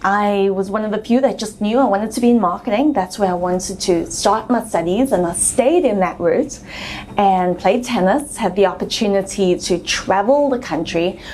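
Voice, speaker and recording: A woman's voice: mid-range voice, moderate pace, a little mellow, slight background noise. A woman in her thirties with a South African accent, telling her story as an interview guest in a chatty way with frequent ums.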